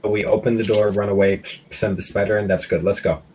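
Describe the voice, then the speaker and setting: A man's voice: lowish voice, steady tone, quick pace, darkish tone, slight background noise, poor audio. A man chatting casually and making plans as a player in a tabletop roleplaying game. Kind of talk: casual game planning chat.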